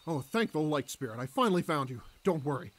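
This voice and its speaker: Overbearing cartoon father, male actor: high, whiny, flustered, animated delivery that jumps around in pitch. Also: quick pace. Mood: anxious, doting. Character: clueless, overbearing cartoon dad, awkwardly affectionate. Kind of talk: cartoon parody voice acting.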